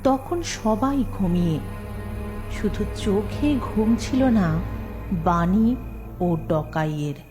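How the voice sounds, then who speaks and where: A woman's voice: medium pitch, lively intonation, slow pace, pauses often, over music. A woman narrating a scripted children's story as the storyteller.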